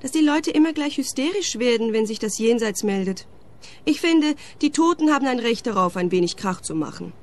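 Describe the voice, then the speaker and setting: A woman's voice: on the high side, animated, fairly quick, natural voice. A young woman playing a cheeky squatter. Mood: teasing. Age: young adult.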